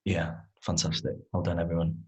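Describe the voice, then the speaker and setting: A man's voice: deep voice, monotone, a little fast, a little mellow, breathy edge, highs cut off. A Welsh-speaking man with a North Welsh accent, giving informal congratulatory remarks over a video call. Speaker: theatre practitioner.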